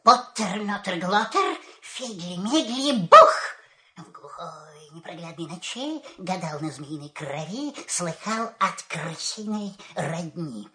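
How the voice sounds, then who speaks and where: An actor playing a sly, ugly little male sorcerer in a fairy-tale audio play, with theatrical, drawn-out incanting. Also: medium pitch, expressive, sharp tone. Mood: ominous chanting.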